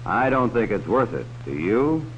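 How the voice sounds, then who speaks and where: A man's voice: fairly low pitch, big pitch swings, normal speed. A man playing a cautionary narrator of a 1950s educational film, talking directly to children in a folksy way. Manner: folksy, direct address to children with leading questions. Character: cautionary male adult narrator warning children about strangers. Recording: dull, hissy 1950s film soundtrack.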